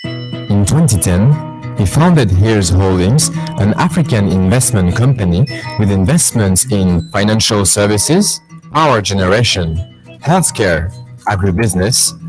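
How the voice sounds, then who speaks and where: Male narrator, lowish voice, scripted documentary voice-over, polished, faint music underneath. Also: animated, unhurried, darkish tone, low-quality recording.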